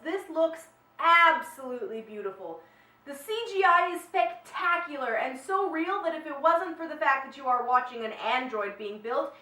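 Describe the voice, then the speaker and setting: A woman's voice: high-pitched, sharp tone. A woman hosting a vlog in an upbeat, chatty, enthusiastic style of review commentary. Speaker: animation vlog host reviewing short films. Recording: echoey room, distant from mic.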